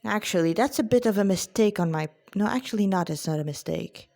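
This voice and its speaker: A woman, an author reading her own story in a dramatic, deliberate way with informal asides. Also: mid-range voice, creaky, a little mellow.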